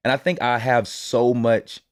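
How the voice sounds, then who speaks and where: A man's voice: mid-range voice, measured pace, clear, resonant tone, dry recording, studio quality. A young man from Indiana, a guest artist talking casually and easygoing, with lots of "you know" and "like". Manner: relaxed, many fillers and restarts. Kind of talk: casual interview chat.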